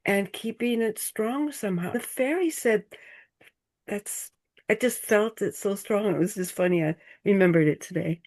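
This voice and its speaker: An older woman from the United States, a guest on a video call, speaking slowly and searching for her words. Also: lowish voice, a little mellow. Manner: slow, thoughtful, searching for words, drops to a whisper. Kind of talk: casual chat on a group video call.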